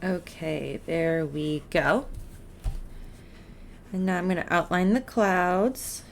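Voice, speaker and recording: A woman teaching art in a casual step-by-step drawing tutorial, encouraging and unhurried as she talks through each step while she works. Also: on the low side. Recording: clear background noise, drawing sounds.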